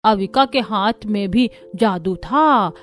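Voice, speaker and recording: A woman's voice: medium pitch, takes it slowly, voice pushed down, lightly acted. A woman voicing an affectionate, approving middle-aged mother-in-law in a family cartoon. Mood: fond praise.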